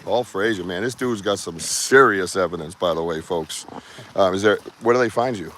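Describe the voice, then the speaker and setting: A man's voice: low-pitched, normal speed, fairly bright tone. A man with a Boston accent from Massachusetts's South Shore, hosting a live Bigfoot research stream outdoors on his phone, chatty and joking with viewers. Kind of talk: casual live-stream chat. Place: outdoors in rural woods. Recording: outdoor ambient noise.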